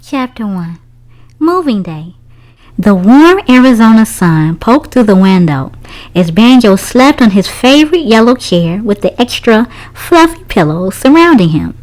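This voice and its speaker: Animated, higher-pitched woman reading a storybook. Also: a little slow, rough recording. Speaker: children's storybook reader. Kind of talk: read-aloud story narration.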